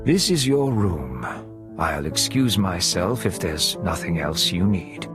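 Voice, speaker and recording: An actor playing a formal, deferential manor butler in a video game, courteous and measured in his speech. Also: deep voice, lively intonation, music in the background. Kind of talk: scripted game dialogue.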